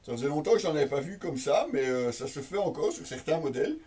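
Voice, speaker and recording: A man with a somewhat high voice gives a casual product demonstration. He explains things off the cuff, with some hesitation, talking straight to his viewers. He sounds slightly distant from the microphone. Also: animated, fast talker, background noise. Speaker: product reviewer and presenter.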